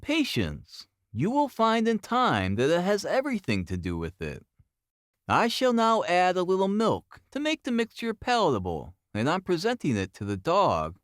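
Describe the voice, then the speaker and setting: A man voicing a brilliant, intense Victorian detective in an audiobook, with a precise, commanding and excitable manner. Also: sits high, normal speed, no echo, studio quality, pitched above the performer's own voice, heavily acted. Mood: confident explaining.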